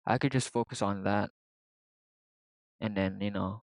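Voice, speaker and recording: Rambling low-voiced man with a Filipino accent. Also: steady tone, a little slow, no room sound. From Philippines. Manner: rambling, many fillers and restarts. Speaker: vlogger. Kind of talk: casual vlog monologue.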